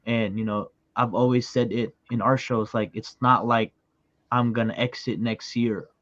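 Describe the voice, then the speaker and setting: A man's voice: medium pitch, fairly even intonation, normal speed, a bit bright, dry recording. A male host with a mid-range voice talks reflectively and agreeably, filling his sentences with ums and you-knows. The audio is dull and lo-fi, dry and close. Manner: reflective, agreeable, many fillers. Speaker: podcast host. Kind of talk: casual interview chat.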